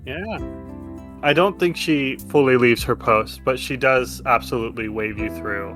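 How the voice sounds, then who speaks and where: A man's voice: mid-range voice, measured pace, over music. A man acting as game master, narrating a tabletop game in a casual style.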